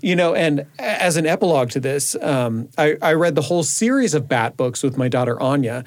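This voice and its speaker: A man contributing to a radio show in casual, halting chat, often checking for agreement. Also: a little high, expressive, no echo. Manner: halting, informal, seeks agreement with "you know".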